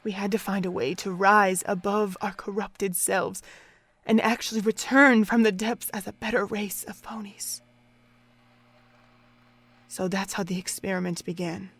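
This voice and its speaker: A woman acts out a cold female pony overseer in a radio play. She speaks with deliberate, theatrical contempt, in a mid-pitched voice. Her scorn swells into intensity and settles back into measured menace. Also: steady tone, fairly bright tone, husky. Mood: scornful, intense. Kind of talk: dramatic audio drama acting.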